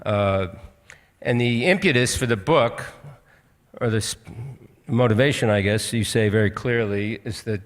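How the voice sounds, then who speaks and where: An older American man moderates a live stage interview, speaking earnestly in a halting, rambling way. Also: mid-range voice. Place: auditorium stage. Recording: hall reverb on a stage.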